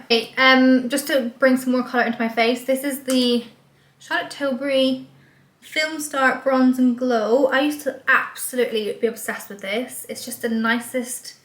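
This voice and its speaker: A woman's voice: fairly high pitch, a bit bright, reverberant. A young woman from Scotland with an English accent, talking through a casual vlog with lots of likes and ums. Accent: English with a light Scottish trace. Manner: bubbly, rambling, with lots of "like" and "um". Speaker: vlogger. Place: small room indoors. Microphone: camera facing her from a short distance.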